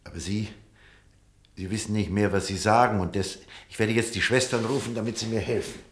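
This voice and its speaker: An actor playing an earnest doctor in a German radio drama, speaking with urgent, firm reasoning. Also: male voice, on the low side, steady tone, a little fast, a little hiss or hum, lightly acted. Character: earnest male doctor recounting and pleading with a patient. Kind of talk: German radio drama acting and first-person narration.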